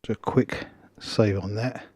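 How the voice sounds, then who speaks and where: A hoarse male music producer talking through a screen tutorial step by step, thinking aloud in short half-finished phrases. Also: fairly low pitch, steady tone, measured pace, darkish tone, no room sound. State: hoarse after a cold. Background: electronic dance music playing.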